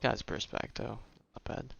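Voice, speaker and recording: A man's voice: moderate pace, fairly bright tone, muffled recording, a little hiss or hum. A man giving casual live gameplay commentary as a streamer, slangy and rambling as he thinks aloud. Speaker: game streamer.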